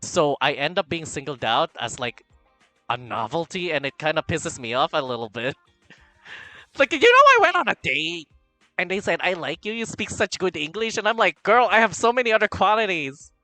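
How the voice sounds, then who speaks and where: Lively chatty streamer man, bright tone. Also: on the high side, moderate pace, no room sound, soft background music. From Philippines. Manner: chatty, camp, reading chat aloud, swings from mumbling to loud excitement. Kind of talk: casual livestream chat.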